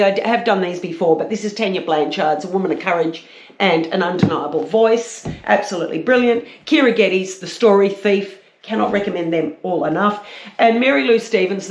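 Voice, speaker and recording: A woman with a croaky voice, chatting casually and a little hesitantly as a book reviewer. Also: medium pitch, a little hiss or hum. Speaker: book reviewer, vlog host. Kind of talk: casual book chat with read-aloud blurbs. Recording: roomy, echoey sound.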